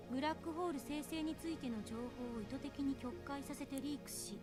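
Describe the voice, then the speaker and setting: A woman's voice: high-pitched, rapid-fire, close to an everyday voice. An actress playing a serious young woman scientist in a visual novel, reciting a report formally and precisely. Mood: grave, formal. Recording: game audio under loud music.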